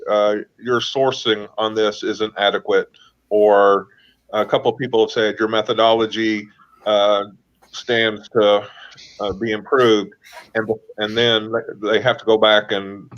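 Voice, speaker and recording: A man with a lowish voice lays out his thinking in a questioning, thoughtful way. His audio sounds dull and lo-fi. He talks casually, as in a group chat. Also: level tone, a little slow, a bit bright.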